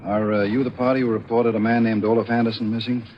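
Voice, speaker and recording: An actor playing a blunt, streetwise police detective with a folksy, slangy manner, in 1940s radio thriller acting. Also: male voice, mid-range voice, little pitch movement, quick pace, natural voice. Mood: businesslike. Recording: muffled, slightly noisy old radio recording.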